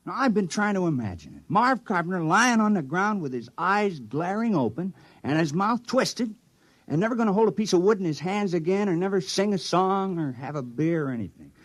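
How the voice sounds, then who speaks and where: An actor playing a reluctant, good-natured village man made town criminal, speaking in a folksy, hesitant, husky way in old-time radio style. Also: high-pitched, sing-song, fairly quick. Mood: troubled, musing.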